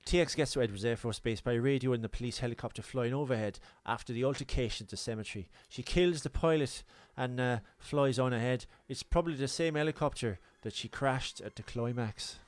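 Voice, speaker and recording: A man's voice: mid-range voice, fairly quick, hardly any pauses, dry recording. A man co-hosting a podcast in casual film chat, rambling and jokey as he goes through his notes. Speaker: podcast co-host.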